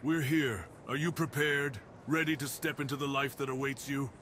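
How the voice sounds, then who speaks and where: Casting: male actor as commanding, brooding wolf beast, mid-pitched, formal and deliberate, for ASMR fantasy drama. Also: fairly even intonation, a bit bright, slight background noise, natural voice. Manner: solemn, deliberate, theatrical delivery with formal wording. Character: brooding cursed dire wolf beast-man, commanding castle master. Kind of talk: ASMR roleplay, dramatic monologue.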